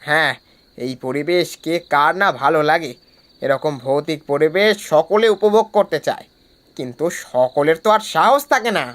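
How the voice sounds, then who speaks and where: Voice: mid-range voice, normal speed, lightly acted. An actor playing a practical young man in a horror cartoon, teasing and reproachful with his friends. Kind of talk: animated horror cartoon dialogue.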